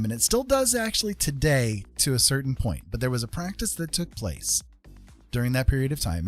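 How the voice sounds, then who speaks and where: A man with a medium-pitched voice hosts a casual livestream with music behind him. He speaks in an opinionated, conversational manner, leaning on key points and regularly checking that the listener follows. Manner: emphatic, conversational, often checking with "right?" and "okay?". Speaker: livestream host.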